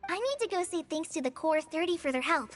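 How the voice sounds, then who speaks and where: Eager, very high-voiced girl. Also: animated, fast. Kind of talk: game voice acting.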